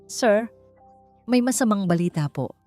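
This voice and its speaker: A woman's voice: medium pitch, animated, measured pace, warm tone, voice pushed up, natural voice. A Filipino woman voicing a loyal, respectful male assistant who defers to his boss in an audiobook story. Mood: worried. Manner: respectful, dutiful. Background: background music.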